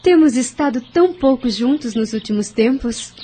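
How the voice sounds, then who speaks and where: A woman playing a gentle, loving young wife in a Brazilian audio drama, with a Brazilian accent. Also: high voice, expressive, moderate pace, slight background noise. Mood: tender, playful. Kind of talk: radio novela acting.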